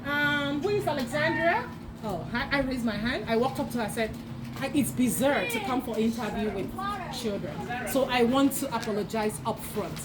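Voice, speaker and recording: A woman from Nigeria sharing her personal story as a bank employee at an outdoor networking event, animated and laughing often. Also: a little high, normal speed. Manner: animated, laughing often, acts out quoted voices. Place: outdoor park. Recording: noisy outdoor recording.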